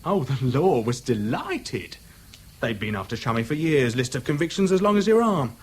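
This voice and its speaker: A man's voice: medium pitch, big pitch swings, normal speed, slightly rough. A British actor in a 1970s radio drama playing a chatty, outwardly affable man who nurses a grudge, speaking in casual slang. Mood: slyly menacing. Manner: colloquial, slangy, casually insinuating.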